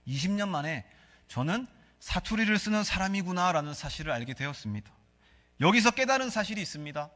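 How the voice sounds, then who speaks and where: High, bright Gyeongsang-accented preacher in echoing church. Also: male voice, very animated, a little fast. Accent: Gyeongsang Korean. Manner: earnest preaching, asks rhetorical questions and leads congregation in reading aloud. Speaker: pastor. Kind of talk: sermon. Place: church sanctuary. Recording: long reverb of a church hall.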